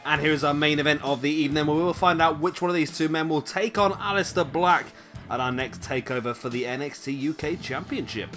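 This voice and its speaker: A man giving casual play-by-play commentary on a wrestling video game, chatty with rambling asides to viewers, his voice going a little hoarse. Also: on the high side, fairly quick, a bit bright, faint music behind. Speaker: gaming commentator. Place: home room, late at night.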